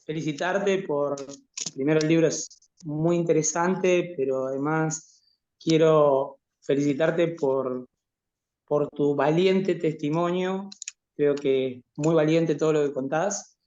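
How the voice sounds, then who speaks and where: A man giving a courteous, deliberate comment as an audience member in an online discussion. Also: on the high side, fairly even intonation, darkish tone. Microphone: video call. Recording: roomy, echoing, dull call audio.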